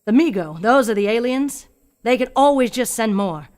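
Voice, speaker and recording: An actress playing a fearful but stubborn older rural woman in a radio play, speaking gravely and deliberately. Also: on the high side, animated, a little mellow, close to an everyday voice. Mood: uneasy, resolute. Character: fearful, stubborn old rural woman guarding alien secrets.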